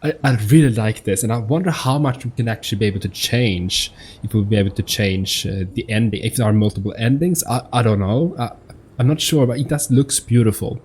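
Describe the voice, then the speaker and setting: A man hosting a gaming video with informal, enthusiastic, somewhat halting commentary in a husky voice. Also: medium pitch, a little mellow. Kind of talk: casual let's-play commentary. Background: game music.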